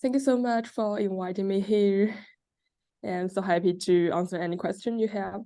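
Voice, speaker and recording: A woman's voice: medium pitch, fairly even intonation, normal speed, mellow, no room sound, clean studio sound. A woman from China introducing herself as a graduate student, casual and filling with "like". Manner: casual, says like often.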